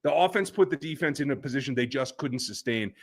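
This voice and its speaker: A man's voice: medium pitch, expressive, fast talker. A man hosting a live post-game sports reaction show, with a fired-up, ranting delivery. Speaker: podcast host.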